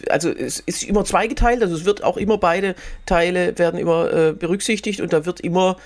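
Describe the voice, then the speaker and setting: Berlin guy on a podcast, kinda higher voice, lots of uhs, chatty. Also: a little fast. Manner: talkative, hesitant with fillers, laughs easily. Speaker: podcast co-host. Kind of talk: casual chat.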